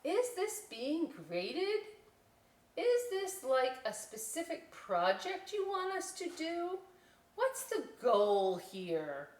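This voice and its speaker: A woman's voice: sits high, huge pitch swings, slow, darkish tone, pitched above the performer's own voice, heavily acted. A woman voicing anxious, insistent young animal pupils in a children's storybook. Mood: anxious, questioning. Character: anxious young night-school pupils, questioning and pleading. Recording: echoey room, distant microphone.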